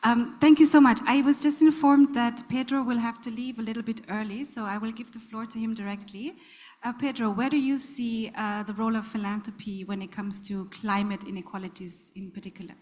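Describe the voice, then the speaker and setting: A woman's voice: a little high, steady tone, brisk, a little mellow. A woman moderating a panel discussion, politely thanking one panelist and handing the floor to another.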